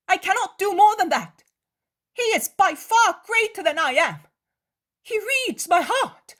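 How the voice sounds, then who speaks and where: An anguished, guilt-torn man cries out in a high, swooping voice, ranging wildly as he pleads and accuses, as a woman reads him aloud. He speaks slowly, leaning hard on each word. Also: heavily acted. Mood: despairing. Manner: impassioned, shouting.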